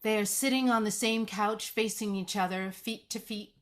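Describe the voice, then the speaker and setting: A woman's voice: mid-range voice, steady tone, moderate pace. A woman reading screenplay stage directions as scripted narration.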